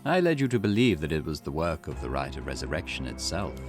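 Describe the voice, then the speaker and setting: A man's voice: very deep voice, very animated, pitched below the performer's own voice. A man reading as a cryptic formal storyteller. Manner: formal, theatrical, measured. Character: cryptic, formal male storyteller guiding the player. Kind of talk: reading game dialogue aloud. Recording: game music playing behind.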